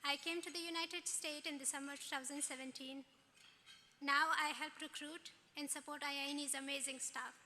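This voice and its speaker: A woman's voice: high voice, steady tone, moderate pace, pushed and bright. A woman from Afghanistan, an HR coordinator, formally introducing a gala evening. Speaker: HR coordinator and co-emcee. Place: large ballroom. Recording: hall reverb, clear crowd noise.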